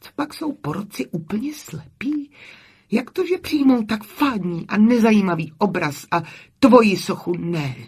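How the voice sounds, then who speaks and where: Woman acting out an impatient, high-pitched young Frenchwoman. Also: very animated, few pauses. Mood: indignant. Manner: exclamatory, emphatic, sprinkles in French oaths.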